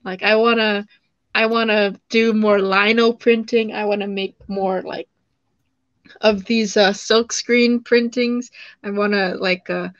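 A young woman artist talking quickly and excitedly on a video call, often breaking into giggles. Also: mid-range voice, dry recording. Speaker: featured artist. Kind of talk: casual video-call chat. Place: home room indoors. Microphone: laptop webcam mic on video call. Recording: dull dry webcam audio.

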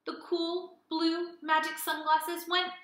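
A young woman reading a picture book aloud like a teacher, animated and asking children simple questions. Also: very high-pitched, slow, reverberant. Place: small indoor room with plain wall.

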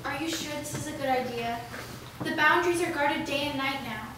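A woman's voice: high-pitched, a little fast, natural voice. A woman playing a worried fifteen-year-old girl in a staged script reading, anxious and questioning. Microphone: far camera. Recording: echoey room, distant mic, noisy.

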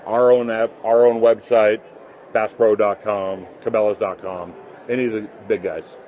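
A man's voice: on the low side, level tone, moderate pace, a little hiss or hum. Slightly muffled man explaining a product casually. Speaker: company representative at a trade booth. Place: trade show booth with fabric backdrop.

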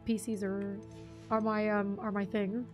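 A young woman with a husky voice, chatting casually with viewers on a game stream, rambling and restarting her sentences. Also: medium pitch, little pitch movement, unhurried, darkish tone, muffled recording, faint music behind. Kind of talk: casual live-stream chat reading viewer comments.

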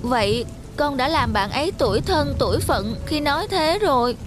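A woman's voice: high-pitched, lively intonation, moderate pace, music in the background. A woman voicing an outspoken, naive little village schoolboy in cartoon dialogue. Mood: remorseful. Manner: blunt, argumentative.

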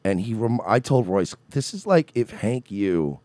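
A man's voice: on the low side, sing-song, a little slow, darkish tone, no echo. A man in his thirties co-hosting a podcast in casual chat, telling stories and mimicking people he met. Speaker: podcast co-host. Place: home studio with red curtain.

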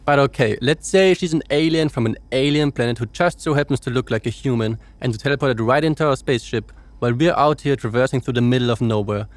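An actor plays a principled spaceship crewman in an audio drama. He protests and argues in disbelief, his average-pitched male voice recorded very dry. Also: fast, few pauses, no echo, lightly acted. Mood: incredulous. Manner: protesting, argumentative. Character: principled, incredulous male spaceship crew member.

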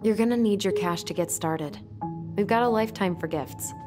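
A woman's voice: mid-range voice, moderate pace, over music, lightly acted. An actress playing a guarded, wry young American woman in a video game, talking in a subdued, dry way. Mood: gentle, teasing. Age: young adult. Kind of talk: naturalistic video game dialogue.

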